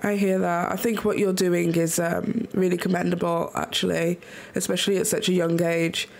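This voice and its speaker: A woman's voice: fairly low pitch, little pitch movement, normal speed, creaky. A woman interviewing a young guest, a little sick and nasal, earnest and supportive with frequent ums. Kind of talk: video interview.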